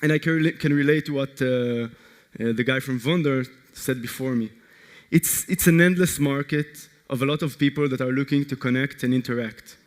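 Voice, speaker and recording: A man from Israel, a startup co-founder, giving an earnest, energetic conference pitch that puts direct questions to the audience. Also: normal speed. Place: large conference hall. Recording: long hall reverb.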